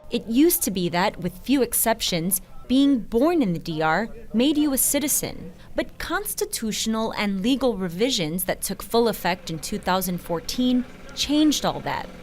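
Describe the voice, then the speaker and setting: A woman's voice: fairly high pitch, very animated, moderate pace, a little mellow, faint music behind. A woman reading scripted news documentary narration in the manner of a reporter.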